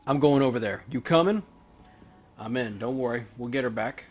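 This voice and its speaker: A man voicing a tense, impulsive young street gang member in a video game, talking gruffly and urgently. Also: mid-range voice, normal speed, darkish tone, lightly acted. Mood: determined.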